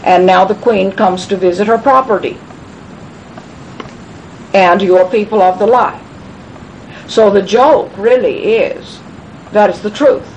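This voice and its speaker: Woman, rambling conspiratorial lecture, emphatic, repetitive restarts, faint rumbling noise. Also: slow, long pauses, a little mellow, low-quality recording. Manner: emphatic, repeats phrases, frequent restarts. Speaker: speaker answering questions at a gathering. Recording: low rumble noise in background.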